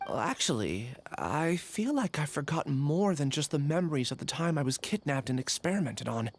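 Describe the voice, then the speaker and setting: An actor playing a troubled, polite young amnesiac in a video game, hesitant, apologetic and earnest. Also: male voice, on the high side, sing-song, normal speed. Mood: uncertain. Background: game music.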